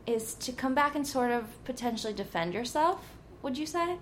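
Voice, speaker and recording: A woman's voice: high voice, expressive, normal speed, slight background noise. A young woman in her twenties hosting a casual podcast, giggly and teasing, close to the mic. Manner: bubbly, giggly, teasing banter. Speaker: podcast host. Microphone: close podcast mic, loud.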